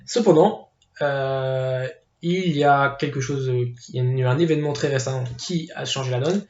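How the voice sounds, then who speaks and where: A man's voice: mid-range voice, normal speed, a little mellow, a little hiss or hum. A man giving his casual opinions, hesitant, with many fillers and restarts. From Portuguese family background. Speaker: football video commentator. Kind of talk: casual spoken opinion piece. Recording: echoey room, dull lo-fi sound.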